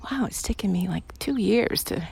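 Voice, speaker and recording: A woman's voice: medium pitch, lively intonation, normal speed, creaky, a little mellow. Woman rambling casually over noisy outdoor ambience. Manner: giggly, hesitant, trailing phrases. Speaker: audio roleplay creator playing a loving girlfriend. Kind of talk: casual rambling chat, intimate one-sided companion talk. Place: outdoors on a bike path. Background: traffic and environmental noise.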